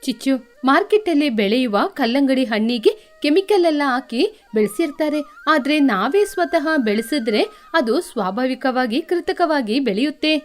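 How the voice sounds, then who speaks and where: A kind cartoon mother sparrow, voiced by a woman, high in pitch. She is patient and gentle as she explains, with music underneath. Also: animated, moderate pace. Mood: patient, welcoming. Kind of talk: children's cartoon dubbing.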